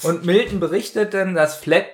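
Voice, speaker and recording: A man's voice: high-pitched, moderate pace, crowd noise. A man co-hosting a podcast, giving his opinions on audio dramas in casual conversation. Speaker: podcast co-host.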